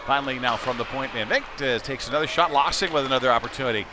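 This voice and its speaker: A man with a mid-range voice gives live hockey play-by-play in a conversational way that turns excitable on big plays. He is at an indoor rink, with clear arena noise and knocks behind him. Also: mid-range voice, very fast. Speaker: play-by-play commentator.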